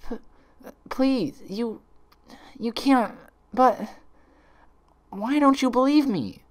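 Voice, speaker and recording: Guy doing a high stammery girl unicorn, desperate n upset, storybook cartoon style, pitch all over. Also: animated, very slow, exaggerated voice. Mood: desperate, pleading. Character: distraught young female unicorn, pleading then furious.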